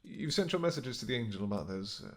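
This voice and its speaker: A man playing a weary, gentle vampire king who speaks softly and haltingly to his beloved in a romantic fantasy audio roleplay. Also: medium pitch, lively intonation, close to an everyday voice. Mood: tired, tender. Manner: soft, halting, gentle, intimate. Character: weary, tender vampire king, doting on his pregnant wife.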